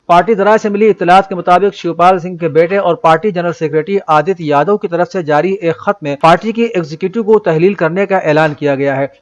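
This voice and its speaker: A man reading a scripted news bulletin in a formal, measured announcer's delivery. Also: sits high, lo-fi sound. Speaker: news reader.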